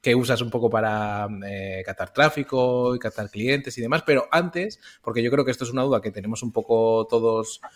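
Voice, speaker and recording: A man's voice: medium pitch, fairly quick, few pauses. A man co-hosting a podcast, asking an interview guest questions in a friendly, loose way with fillers. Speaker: podcast co-host.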